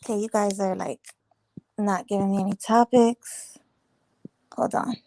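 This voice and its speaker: A woman hosting a casual morning livestream, chatting and reading viewer comments, her voice a little raspy this morning. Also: medium pitch, fairly even intonation, measured pace, mellow, poor audio. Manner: chatty, rambling, teasing viewers. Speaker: livestream host.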